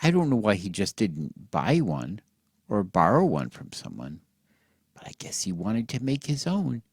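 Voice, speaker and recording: A man telling a satirical bedtime story as if to children, in a theatrical, mock-earnest way with drawn-out words and hushed drops. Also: on the low side, huge pitch swings, slightly rough, no echo, clean studio sound.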